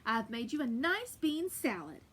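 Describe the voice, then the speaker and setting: Bossy giant wife, brisk and scolding, bouncy high voice from a lady storyteller. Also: big pitch swings, husky, exaggerated voice. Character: bossy no-nonsense giant housewife.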